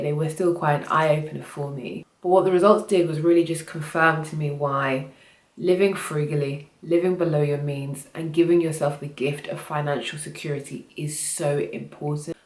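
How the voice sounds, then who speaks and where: Low-voiced woman with a cold. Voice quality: voice slightly off from a cold. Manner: earnest, self-correcting explainer. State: has a cold. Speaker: finance vlogger. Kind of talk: casual talk to camera. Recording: echoey room.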